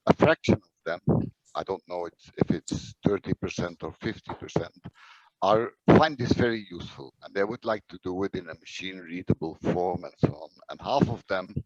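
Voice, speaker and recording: A man with a lowish voice gives informal expert remarks in a discussion. The audio is dull and muffled, like an online call, with little room sound. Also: a little mellow, no echo. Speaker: discussion participant.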